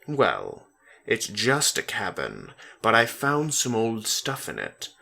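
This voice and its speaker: A man's voice: on the low side, wildly swooping pitch, slow, gravelly, sharp tone, heavily acted. A man reading the storybook lines of a casual, curious teenage girl. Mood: offhand, intrigued. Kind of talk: storybook dialogue in a dramatic reading.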